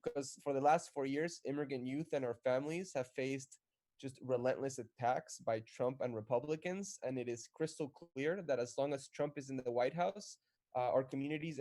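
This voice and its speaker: A man co-hosts an activist live stream with a rallying, energetic delivery. His voice is mid-pitched and warm, with a mellow edge.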